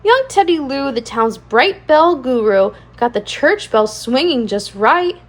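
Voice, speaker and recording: A woman with a high voice reads a picture book to young children. Her delivery swings widely up and down, with a singsong rhyming cadence and plenty of expressive emphasis on key words. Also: unhurried. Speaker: children's read-aloud channel host. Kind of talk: animated storybook read-aloud for young children.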